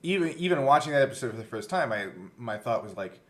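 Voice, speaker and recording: A man chatting casually as a game master looking back on a show, telling it in an animated way and acting out reactions. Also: medium pitch, fast talker. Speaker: game master recalling a show's first season. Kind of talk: casual behind-the-scenes chat.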